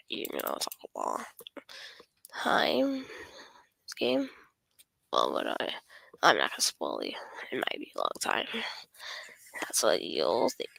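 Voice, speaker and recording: Female game streamer, crisp bright tone with fry, breathy, hesitant rambling delivery, pitch swinging widely. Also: no echo, poor audio. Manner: hesitant, rambling, often trailing off and apologetic. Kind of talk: casual livestream chat.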